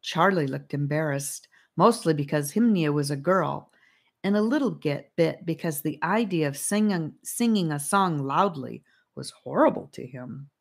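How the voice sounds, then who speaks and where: A woman's voice: low voice, expressive, normal speed, creaky. A woman narrating an audiobook, reading a story.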